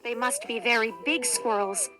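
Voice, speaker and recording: A woman voicing a nervous, loyal male talking candelabra in a storybook, excitable and anxious. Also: fairly high pitch, normal speed, music in the background, close to an everyday voice.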